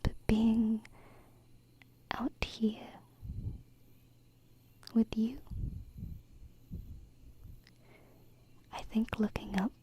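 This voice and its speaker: A woman voicing a gentle, wistful alien princess in a soft, hesitant whisper for an ASMR roleplay, close to a binaural mic. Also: fairly high pitch, little pitch movement, a little hiss or hum. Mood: hopeful, tender. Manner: hesitant, tender, slow pauses. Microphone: close binaural microphone.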